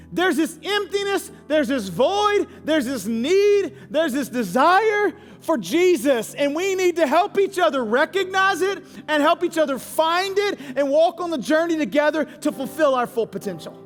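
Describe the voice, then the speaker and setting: A male pastor in his forties delivers an emphatic, candid sermon in a very high-pitched voice. He repeats phrases to drive them home. His voice rings with long reverb in a large church auditorium, with faint music underneath. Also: fairly bright tone. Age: 40s.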